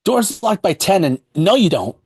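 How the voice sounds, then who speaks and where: Man acting an anxious, husky, high-pitched needy guy. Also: very animated, normal speed, darkish tone, no echo, clean studio sound, heavily acted. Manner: pleading, worried. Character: anxious, needy, jealous-sounding man fretting over absent friend. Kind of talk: script table read.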